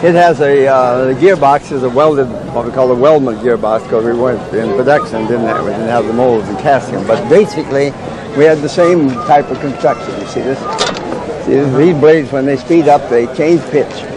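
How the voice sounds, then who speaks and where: An older man who builds wind turbines, calmly explaining how they work to people at an outdoor fair, with plenty of uh's. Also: medium pitch, moderate pace, over a noisy crowd. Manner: plain, matter-of-fact explaining with frequent uh. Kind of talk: explaining to fairgoers. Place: outdoor fairground. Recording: noisy outdoor camcorder audio.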